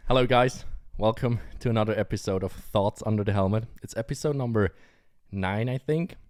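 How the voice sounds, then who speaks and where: A man's voice: lowish voice, a little fast, darkish tone, dry recording, studio quality. A young man hosting a casual podcast interview, speaking eagerly and a little nervously. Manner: eager, nervous, laughs often. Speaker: podcast host.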